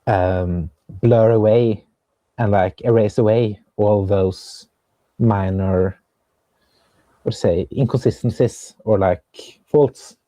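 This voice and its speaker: Slow, mellow young Norwegian man. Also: on the low side, fairly even intonation, no room sound. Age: young adult. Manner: thoughtful, searching for words with many pauses and fillers. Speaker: composer and viola player, guest. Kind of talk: casual conversation.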